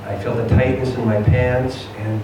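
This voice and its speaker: A man reads a short story aloud with theatrical expression. His mid-pitched voice comes from some distance in a heavily reverberant room, with clear background noise. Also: level tone, moderate pace. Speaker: reader of a short story at a live event. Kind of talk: dramatic first-person story reading. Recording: very echoey room, distant mic, noisy.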